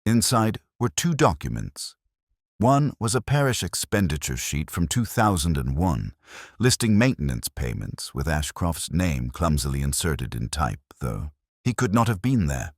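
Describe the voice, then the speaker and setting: Very deep-voiced male story narrator. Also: very animated, measured pace, dry recording, clean studio sound. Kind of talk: scripted audio mystery story narration.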